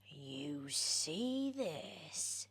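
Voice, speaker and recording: British asmr lady doing a creepy little old man, really slow creaky drawl, husky and coaxing. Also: sits low, very animated, full character voice. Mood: eerie coaxing. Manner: drawn-out, breathy, half-whispered coaxing. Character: very small old man, mysterious, beckoning and eerie.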